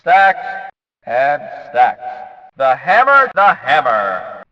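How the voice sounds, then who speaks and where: A man playing an excitable video game race announcer, calling out in emphatic, punchy bursts that swing from flat to shouted. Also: medium pitch, very slow, full character voice. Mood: excited, hyped. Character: excitable male demolition derby race announcer. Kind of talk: arena-style race announcer name calls. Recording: dull audio with long hall reverb.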